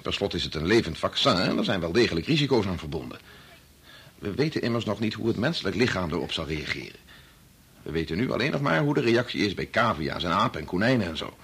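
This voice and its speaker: Husky, embittered researcher husband. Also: male voice, low voice, sing-song, fast, a bit bright, exaggerated voice. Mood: earnest, explaining. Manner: irritable, insistent, hesitating and repeating himself. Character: embittered, self-absorbed male medical researcher, agitated husband. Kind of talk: Dutch radio play acting.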